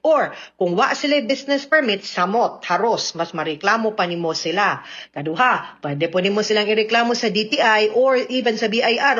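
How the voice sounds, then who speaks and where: A woman's voice: medium pitch, a bit bright. A woman with a Cebuano Filipino accent giving legal advice on the radio in an explanatory, conversational way with frequent tag questions. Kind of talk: spoken legal advice to listeners, mixing in English. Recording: slightly dull radio recording.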